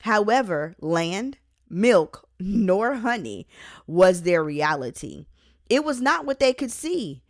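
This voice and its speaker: Slow, deliberate woman reading inspirational teaching. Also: medium pitch, sing-song, no echo, studio quality. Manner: deliberate, emphatic phrasing with pauses. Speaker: author and host reading own book. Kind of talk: scripted inspirational audiobook-style reading with Bible teaching.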